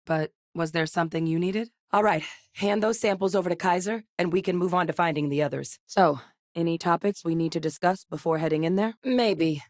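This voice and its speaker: A woman voicing a seasoned, earnest female soldier and xenobiologist in studio video game dialogue, measured and sincere. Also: fairly low pitch, no room sound, natural voice. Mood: steady. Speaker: game character voice actor. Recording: dry studio recording.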